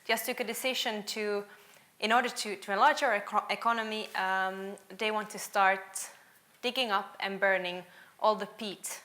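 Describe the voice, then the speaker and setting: A woman's voice: medium pitch, steady tone, normal speed, sharp tone. A young woman from Finland speaking at a panel event, earnest, often repeating the first word of a sentence. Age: young adult. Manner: earnest, doubles words when starting sentences. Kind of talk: panel talk. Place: event hall with audience.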